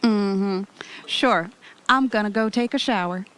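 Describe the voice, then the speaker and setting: A woman playing a fond, careful wife on vacation in an audio drama, chatty and gently chiding. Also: mid-range voice, a little slow, close to an everyday voice, rough recording. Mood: affectionate, chiding. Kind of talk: audio drama acting, conversational. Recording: faint background noise.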